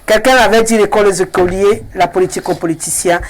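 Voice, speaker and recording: A man's voice: high-pitched, steady tone, quick pace, poor audio. A man moderating a debate, speaking in short, sententious declarative phrases. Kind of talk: televised debate moderation and commentary.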